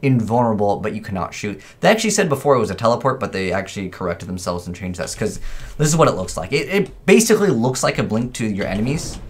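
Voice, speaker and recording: A man's voice: on the low side, sing-song, fast, breathy edge. A man hosting a gaming video with casual, informal commentary, rambling a little as he thinks aloud.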